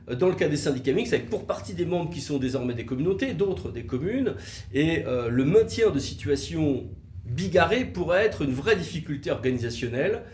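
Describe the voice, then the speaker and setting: A man's voice: fairly high pitch, darkish tone. A man giving a didactic legal explanation, posing rhetorical questions and stressing key words. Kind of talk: explanatory legal video talk, semi-scripted. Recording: roomy, echoey room sound.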